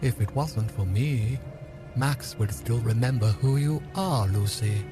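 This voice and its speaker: An actor playing a bitter, gloating outcast villain in an audio drama, theatrical, taunting and grandiose. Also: male voice, medium pitch, unhurried, over music, close to an everyday voice. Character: bitter, gloating male Clockmaker villain, outcast celestial schemer.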